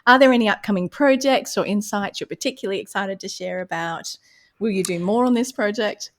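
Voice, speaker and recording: A woman's voice: mid-range voice, fairly quick. A woman speaking as a podcast host in a casual, conversational style. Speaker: podcast host and creativity coach. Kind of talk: casual interview and sign-off.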